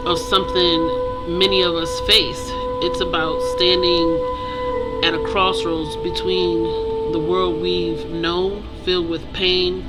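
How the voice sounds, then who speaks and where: An author speaking reflectively and earnestly to viewers in short, halting phrases with pauses. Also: mid-range voice, rough recording. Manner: earnest, inspirational. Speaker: author and creator introducing own book. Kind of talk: personal, reflective talk to viewers about own book. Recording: loud music behind the voice. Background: music.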